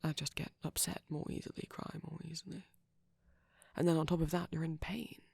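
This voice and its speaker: A performer playing a shy, caring vampire boyfriend in an intimate ASMR roleplay, speaking softly and breathily with hesitant pauses. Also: medium pitch, steady tone, dry recording. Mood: sympathetic, earnest. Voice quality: breathy, often near whisper. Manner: soft-spoken, breathy, halting with gentle pauses.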